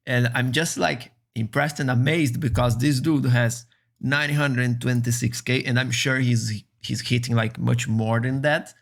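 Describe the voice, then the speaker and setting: A man whose first language is Brazilian Portuguese, speaking English earnestly and haltingly as a streamer reacting to a video. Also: mid-range voice, gravelly. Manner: earnest, halting, many restarts.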